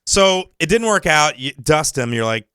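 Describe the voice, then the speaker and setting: A man's voice: fairly high pitch, big pitch swings, moderate pace, pushed and bright, clear, resonant tone, no room sound, clean studio sound. A man hosting a casual comedic talk show, chatting in a teasing, joking way. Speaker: show host.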